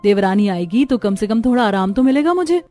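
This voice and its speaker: A woman playing a harried, caring young housewife and mother in an animated family story. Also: medium pitch, a little fast, close to an everyday voice. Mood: weary. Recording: background music under the voice.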